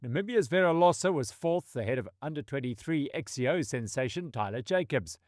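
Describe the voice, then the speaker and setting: A man narrates race results in a polished, scripted sports voice. His pitch moves about a lot, giving it a lively lilt. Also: brisk, no echo, clean studio sound. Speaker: highlights narrator.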